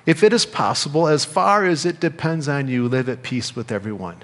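American pastor preaching in a reverberant hall. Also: male voice, mid-range voice, fairly quick, a bit bright. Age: middle-aged. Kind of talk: sermon. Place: church sanctuary, indoors at a lectern.

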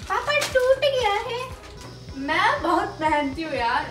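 A woman's voice: extremely high pitch, sing-song, measured pace, fairly bright tone. A young woman in her twenties chatting playfully to camera in a small home kitchen, self-mocking and chatty. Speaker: vlogger. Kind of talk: casual vlog chat to camera. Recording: echoey kitchen with music underneath.

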